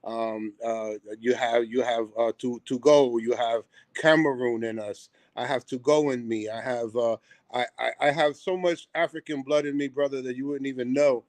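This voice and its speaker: A man's voice: medium pitch, fairly even intonation, normal speed, dry recording. Earnest middle-aged New York man. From Puerto Rico. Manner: earnest, repetitive, many ums and restarts. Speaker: theater owner and chef. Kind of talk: casual interview chat. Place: theater seat on a live call.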